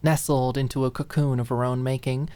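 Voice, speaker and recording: A woman's voice: a bass voice, little pitch movement, brisk, mellow. A woman reading scripted, dramatic story narration as an audiobook narrator.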